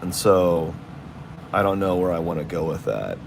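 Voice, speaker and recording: Male artist on a live stream, low voice, loose and rambling, talks through his work as he goes. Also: sing-song, moderate pace, creaky, background noise. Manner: rambling, thinking aloud with restarts. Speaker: artist streaming while drawing. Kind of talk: casual live-stream commentary.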